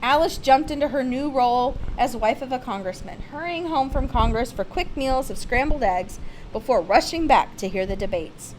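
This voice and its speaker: A woman's voice: sits high, expressive, normal speed, a little hiss or hum, low-quality recording. A woman reads a children's picture-book biography aloud with theatrical, emphatic expression. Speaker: storybook reader.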